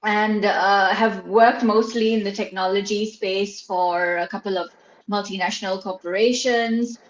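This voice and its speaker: A woman's voice: mid-range voice, fairly even intonation, unhurried. A woman from Malaysia talks in a friendly, giggly manner as a panelist. Her voice rings in a roomy space, and the call audio is dull and lo-fi. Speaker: HR practitioner, panelist.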